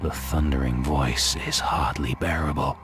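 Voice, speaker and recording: A grim, cynical dead gunman narrates a video game. His voice is extremely low, with a weary, sardonic edge. Music plays clearly underneath. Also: steady tone, measured pace. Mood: brooding. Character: cynical, hardened dead gunman stranded in the afterlife. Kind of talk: brooding first-person game narration.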